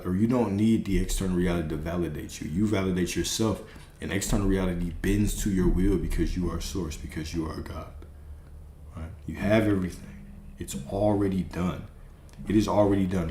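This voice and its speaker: A man's voice: sits low, dark tone, echoey room, a little hiss or hum. A man hosting a live stream, giving an emphatic spiritual pep talk and repeating his key phrases.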